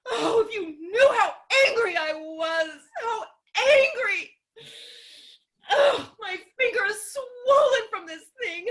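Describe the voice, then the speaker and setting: A woman's voice: extremely high pitch, fairly bright tone, full character voice. An actress playing a proud, furious woman torn between hatred and love, melodramatic and imperious in a staged reading of a farce. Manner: melodramatic, imperious, exclamatory, abruptly contradicting herself.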